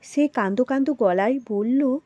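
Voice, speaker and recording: A woman storyteller narrating a children's story in an expressive, dramatic and emphatic way. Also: sits high, measured pace, no echo.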